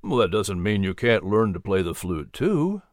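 A narrator voicing a kindly, calm male preacher who mentors gently in a measured, encouraging way. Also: low voice, dry recording, clean studio sound, voice pushed down.

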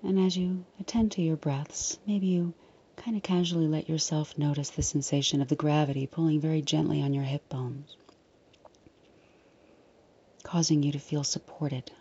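A woman leading a guided meditation in a soft, breathy, often near-whispered voice, soothing, unhurried and gently reassuring. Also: low voice, steady tone, muffled recording, a little hiss or hum.

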